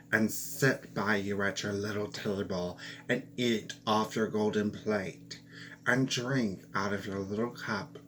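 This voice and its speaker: A man voicing a patient male frog in a fairy tale, speaking slowly and coaxingly. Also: on the low side, a little hiss or hum. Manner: slow, measured and coaxing.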